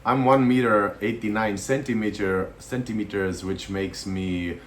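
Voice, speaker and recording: A man in his thirties from Estonia talks loosely to the camera, pausing on fillers as he goes. His voice sits very low. A faint noise hums behind him. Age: 30s. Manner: relaxed, hesitant with many fillers. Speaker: vlogger. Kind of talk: casual to-camera chat.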